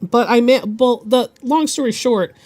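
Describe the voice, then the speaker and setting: A woman chats casually during a live art stream, her voice hoarse and gravelly from a chest illness. She talks in a halting, meandering way, stopping often to clear her throat, while soft music plays faintly behind her. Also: fairly high pitch. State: hoarse and deepened from bronchitis.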